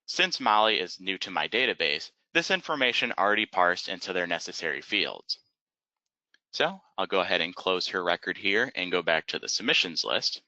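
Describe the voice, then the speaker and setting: A man narrating an instructional webinar as a software trainer, explaining methodically step by step in a close, dry, slightly dull recording. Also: low voice, sing-song, moderate pace, no room sound.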